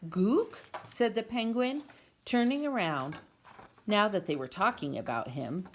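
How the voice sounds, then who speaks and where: A woman's voice: medium pitch, animated, measured pace, slight background noise. A woman reading a children's story aloud expressively, as a parent does for the family at home.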